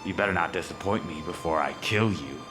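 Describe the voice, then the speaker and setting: An actor playing a hulking, mocking turncoat villain in a dark audio drama, taunting and cold in his delivery. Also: low-pitched, brisk, close to an everyday voice. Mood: taunting menace. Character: menacing, mocking hulking male turncoat, superhumanly strong. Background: music.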